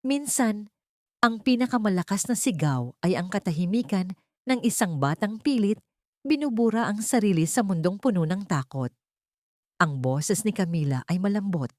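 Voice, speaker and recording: Female audiobook narrator, lowish pitch, lively melodic delivery, dry close sound. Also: no echo. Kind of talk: dramatic scripted story narration.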